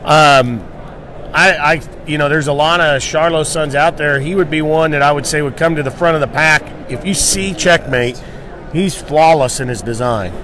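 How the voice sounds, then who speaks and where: Higher-voiced man talking livestock. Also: moderate pace, sharp tone, slight background noise. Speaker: livestock show host. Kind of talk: casual promotional livestock talk. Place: indoor convention hall booth. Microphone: handheld microphone.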